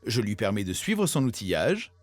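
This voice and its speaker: A man voicing an eager, self-assured, helpful software mascot, presenting in an upbeat way in an educational cartoon. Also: on the low side, big pitch swings, normal speed, over music. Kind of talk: scripted explainer in an educational cartoon.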